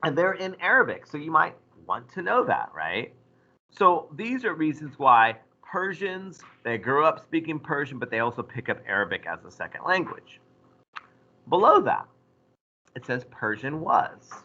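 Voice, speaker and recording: A man teaching a history class, lecturing in a lively way and putting questions to his students. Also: fairly high pitch, normal speed, muffled recording. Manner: animated, asks the class questions.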